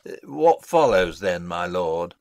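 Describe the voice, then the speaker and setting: Swooping, pompous old counsellor. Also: male voice, low-pitched, sing-song, slow pace, gravelly, darkish tone, no echo, exaggerated voice. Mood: puzzled, indulgent. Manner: pompous, declamatory, long-winded. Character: pompous, fussy old male royal counsellor. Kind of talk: Shakespearean dramatic reading.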